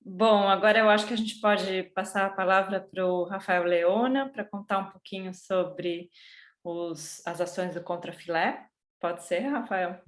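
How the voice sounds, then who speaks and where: A woman moderating an online panel talk, speaking warmly and politely as she hands over the floor. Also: mid-range voice, steady tone, measured pace. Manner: warm, courteous, handing over the floor. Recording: echoey room.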